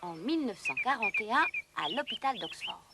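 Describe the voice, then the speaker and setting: A woman's voice: on the high side, sing-song, brisk, noisy background. A woman narrator delivering scripted educational cartoon narration in a storytelling manner.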